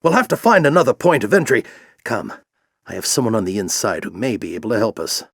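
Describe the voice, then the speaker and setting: A man playing a grizzled older alien warrior general in a video game, with a gruff, commanding, theatrical delivery and a fanatical intensity. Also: on the low side, big pitch swings, fast, a bit bright, no echo, studio quality, exaggerated voice. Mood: brisk, commanding. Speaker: military commander and mentor. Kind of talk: video game voice acting, combat barks and dramatic speeches.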